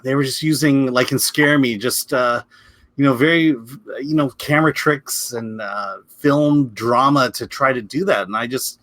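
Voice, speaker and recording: A man's voice: medium pitch, a little slow, fairly bright tone, no echo. A man chatting casually as a film critic, speaking hesitantly and often repeating his words as he reviews.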